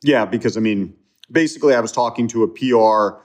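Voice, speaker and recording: A man tells a story as an interview guest, his voice sitting in an ordinary middle register. He talks casually, with plenty of fillers and restarts, and gets lively as he acts out the exchanges. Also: medium pitch, fairly quick. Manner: conversational, many fillers and restarts, animated retelling.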